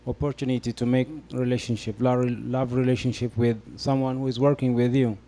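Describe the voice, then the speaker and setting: A man's voice: medium pitch, little pitch movement, brisk, warm tone. A young man from Ethiopia speaking on a discussion panel, hesitant and full of fillers as he explains his answer. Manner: hesitant, many fillers and restarts. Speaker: panel guest.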